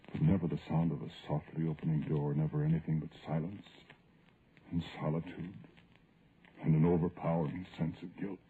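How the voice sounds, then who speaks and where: An actor playing a guilt-ridden, grieving man who narrates his ghost story in a solemn, literary radio drama style. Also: a bass voice. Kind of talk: vintage radio horror drama acting. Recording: noisy, narrow-band vintage radio recording.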